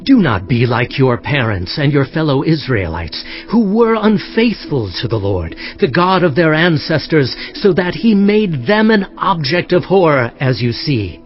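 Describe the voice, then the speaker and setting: A man's voice: on the high side, big pitch swings, a little slow, few pauses. A man playing an earnest biblical king who proclaims solemnly to his people in a dramatized scripture reading, exhorting them. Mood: urgent exhortation. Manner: declamatory, exhorting. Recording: slightly muffled, faint music underneath.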